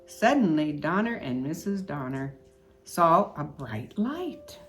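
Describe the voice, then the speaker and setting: Older lady doing story time for kids, lowish voice, swoops all over, takes it slow, music behind. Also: big pitch swings. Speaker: storyteller reading aloud for children. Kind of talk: children's storybook read-aloud.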